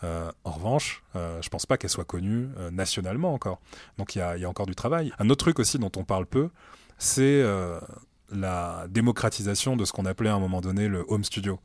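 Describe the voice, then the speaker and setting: A man in his late thirties speaks with a low, deep voice, calm and reflective, with frequent pauses as he works through his ideas. The sound is close and dry, with no room echo. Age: late 30s. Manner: relaxed, thoughtful, many hesitations. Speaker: music director at a streaming platform, guest. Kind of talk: casual conversational interview. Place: meeting room at the streaming company's office.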